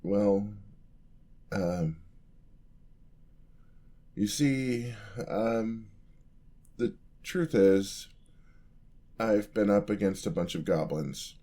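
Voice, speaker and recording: A man's voice: fairly low pitch, drawn-out words, pauses often, warm tone. A man playing a clumsy, earnest young paladin apprentice in a fantasy ASMR roleplay, with a hesitant, bashful, polite stammer. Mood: sheepish, confessing.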